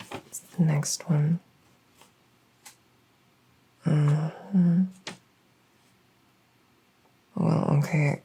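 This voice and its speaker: Female performer as flustered fledgling vampire, ASMR roleplay. Mellow, very low-key tone, low pitch, earnest and hesitant. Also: gravelly, husky, heavily acted, rough recording. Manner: halting, hesitant, stammering.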